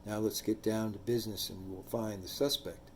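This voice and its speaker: Male true crime host, low and mellow, voice trailing off at line ends. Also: fairly even intonation, fairly quick, slight background noise. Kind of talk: true crime commentary and reading.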